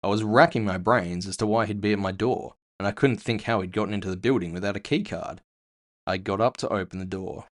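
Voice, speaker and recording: A man's voice: fairly low pitch, brisk, natural voice. A man playing a world-weary bounty hunter with magic powers, telling his story tersely in a scripted audio fiction. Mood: brooding. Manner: gritty, terse, first-person storytelling.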